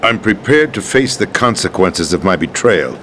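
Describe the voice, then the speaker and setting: Deep, bitter American president. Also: male voice, animated, normal speed, fairly bright tone, slight background noise. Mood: wary, sardonic. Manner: theatrical, emphatic, declamatory. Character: disillusioned American president, bitter, world-weary conspirator. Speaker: US president in a video game. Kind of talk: dramatic video game voice acting. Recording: game soundtrack music underneath.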